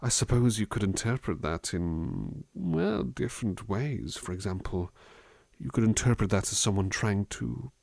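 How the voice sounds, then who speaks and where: A man playing a gentle, reflective butler in a soft-spoken ASMR roleplay, musing slowly and thoughtfully, at times dropping into a near-whisper. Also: fairly low pitch, lively intonation, vocal fry, no room sound, exaggerated voice. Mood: thoughtful, reassuring. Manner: hesitant, thoughtful, musing, unhurried. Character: gentle, reflective male butler reading poetry to his charge.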